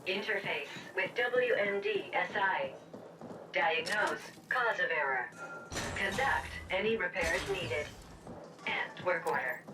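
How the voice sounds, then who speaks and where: A woman's voice: on the low side, lively intonation, slow. A woman voicing an impassive computer system in a video game, giving formal, clipped instructions. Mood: impassive, procedural. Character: impassive female computer voice issuing work orders. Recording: echoing, distant announcement under music.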